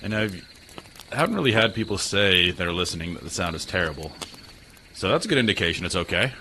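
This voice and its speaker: A man's voice: lively intonation, quick pace, bright, forceful tone. A man streaming games from a small spare room at home, chatting casually and self-deprecatingly with viewers. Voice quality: low voice. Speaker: gaming streamer. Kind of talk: casual live-stream chat. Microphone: desk mic. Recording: slightly tinny home stream mic, faint noise.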